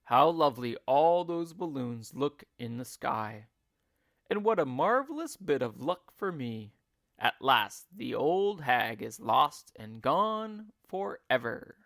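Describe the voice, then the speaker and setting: A man's voice: medium pitch, very animated, vocal fry, a bit bright, full character voice. Man playing a nasty, mock-sweet scheming husband. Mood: gloating. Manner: mock-sweet, gloating, drawn-out. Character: nasty, gleeful, scheming husband feigning concern. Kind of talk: storybook character voice.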